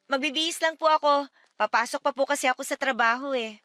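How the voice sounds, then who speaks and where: A woman's voice: high-pitched, fast, fairly bright tone, no echo. A woman playing a meek, hardworking young adult daughter in a radio drama, deferential and respectful. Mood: polite, submissive. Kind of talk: Tagalog radio drama acting.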